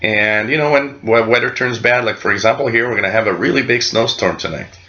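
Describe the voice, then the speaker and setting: A man's voice: mid-range voice, a little fast, pushed and bright, muffled recording. A middle-aged man from Canada casually explaining things as host of a hobby radio channel, recorded in a small room. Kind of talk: casual explanatory vlog talk.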